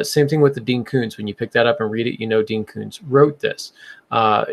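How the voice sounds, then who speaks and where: A man's voice: medium pitch, gravelly. A man hosting a podcast, talking casually in a chatty, explanatory way. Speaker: podcast host.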